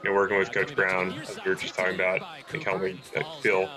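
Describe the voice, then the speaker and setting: A young man, a former quarterback, reminiscing casually in a relaxed, hesitant way with fillers. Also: low-pitched, quick pace, a crowd in the background.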